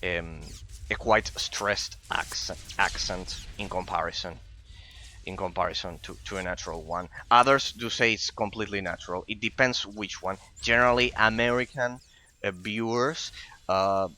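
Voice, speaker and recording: A man's voice: fairly low pitch, fairly even intonation, normal speed, sharp tone. A non-native English-speaking man with a European accent, rambling in casual commentary over a game. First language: not English. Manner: rambling, with frequent repeated words. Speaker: gaming streamer and English teacher.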